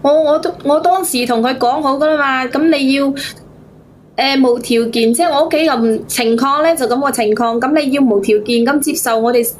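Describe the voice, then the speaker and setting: A woman with a Cantonese accent telling her story to a host as a caller, in a halting, repetitive, plaintive way. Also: high-pitched, a little hiss or hum. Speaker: phone-in caller. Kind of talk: casual personal storytelling to a host.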